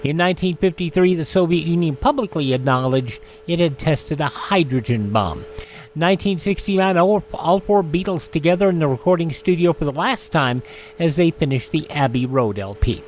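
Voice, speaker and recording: A man's voice: a little high, animated, normal speed, a little hiss or hum. A man hosting a radio show, reading scripted items about dates in history. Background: music.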